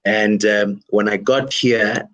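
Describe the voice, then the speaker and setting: A man from DR Congo whose first language is French speaks with a fairly low voice. He answers reflectively as an interview guest, often circling back with "you know" and small restarts. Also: fairly even intonation, slow pace, a bit bright, highs cut off. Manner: thoughtful, many "you know" fillers and restarts. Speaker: guest musician and worship leader.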